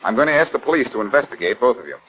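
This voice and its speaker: An actor playing a brash, confident amateur sleuth in 1940s radio style, blunt and insistent. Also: male voice, medium pitch, expressive, racing, a bit bright, natural voice, rough recording. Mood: accusing. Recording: 1940s radio broadcast recording.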